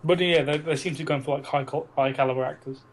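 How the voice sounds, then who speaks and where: A young man co-hosting a casual film podcast, chatting in a halting way and repeating words as he goes. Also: a little high, fairly even intonation. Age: young adult. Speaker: podcast co-host. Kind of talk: casual chat about films. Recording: noisy amateur podcast recording.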